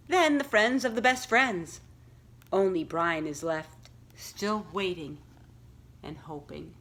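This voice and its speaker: A woman reading a children's story aloud like a school librarian, with deliberate, expressive storytelling phrasing aimed at young listeners. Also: fairly low pitch. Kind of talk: expressive picture-book read-aloud with questions to young listeners.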